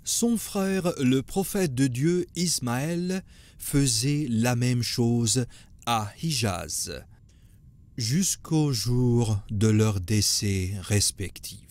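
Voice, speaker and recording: A male narrator with a medium-pitched voice tells a religious tale. His delivery is slow, solemn and measured, as scripted storytelling. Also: expressive, a little mellow.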